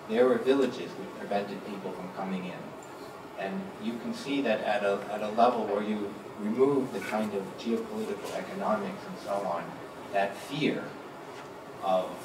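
A middle-aged man with a lowish voice answers on a panel, measured and reflective, circling back on his words. He speaks into a handheld mic, caught from a distance in a large, echoing hall. A loud wash of room noise sits under him. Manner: measured, reflective, many restarts. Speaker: panelist, public health professor. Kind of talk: panel discussion. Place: large lecture hall with audience.

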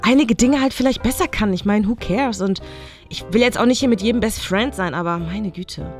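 A woman plays a cool, nonchalant schoolgirl talking fast over clear background music. She is offhand and dismissive, slipping in English phrases, in children's role-play comedy. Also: medium pitch, lightly acted. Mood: exasperated.

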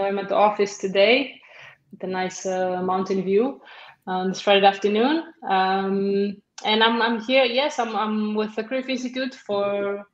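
Young woman from Romania, friendly advisor, hesitant; echoey office, dull webcam sound. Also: mid-range voice. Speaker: academic advisor. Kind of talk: video call interview. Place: office, indoors. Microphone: laptop webcam on video call.

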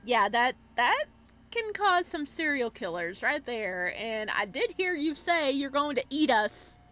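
Expressive woman gamer with a higher voice. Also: a little slow. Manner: expressive, exclamatory. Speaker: gaming streamer. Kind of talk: casual game playthrough commentary.

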